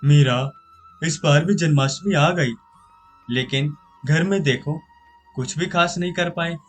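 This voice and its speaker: A man's voice: a little high, measured pace, breathy edge, soft background music. A man voicing a loving, worried village father in a moral story, earnest and thoughtful. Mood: sad, worried.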